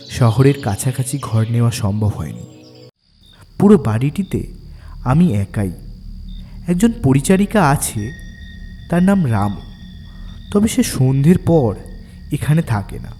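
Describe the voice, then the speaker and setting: A man narrating a scripted first-person horror story in a suspenseful, dramatic way. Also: medium pitch. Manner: suspenseful, expressive storytelling delivery. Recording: long reverb, faint music bed.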